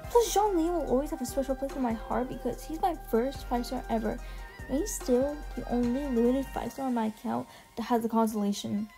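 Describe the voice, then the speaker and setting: A young woman giving casual, gushing ranking commentary in a voice-over, slangy and quick to swear. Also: fairly high pitch, gravelly. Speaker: video essay host. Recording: music behind the voice. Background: music.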